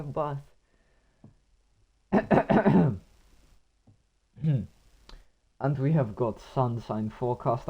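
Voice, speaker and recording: A young male commentator calling a live online motor race in a hoarse, worn-out voice that has almost given out. His pitch sits in an ordinary middle range, and he rambles on and thinks aloud between bursts of action. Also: dark tone, highs cut off. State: hoarse, voice nearly gone. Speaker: sim racing commentator.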